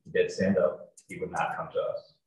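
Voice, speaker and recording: A man's voice: normal speed, darkish tone. A young man testifying as a police officer in court, formal, terse and matter-of-fact in procedural phrasing. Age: young adult. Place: courtroom, heard over a video call. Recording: distant, echoey, faintly noisy courtroom video call.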